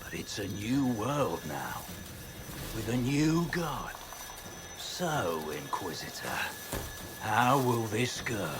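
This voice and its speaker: An actor playing a bitter, fanatical armored general in a video game, speaking with menacing, boastful deliberation over background noise. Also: male voice, medium pitch, big pitch swings, slow pace, long pauses, over music. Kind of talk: dramatic video game acting.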